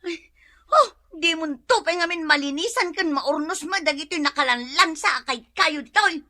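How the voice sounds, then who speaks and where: An actress plays a high-voiced, sharp Ilocano village woman in a comedy radio drama. She is indignant and gossipy, wailing and scolding, quick to threaten the neighbours with court. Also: animated, exaggerated voice.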